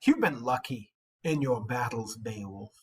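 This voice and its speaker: A man voicing a jealous warrior bully from an epic poem, declaiming scornfully with drawn-out, emphatic words. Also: medium pitch, expressive, breathy edge. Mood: taunting, scornful. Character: jealous, boastful-hating male warrior bully, harsh and taunting. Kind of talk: dramatic epic poem read-aloud.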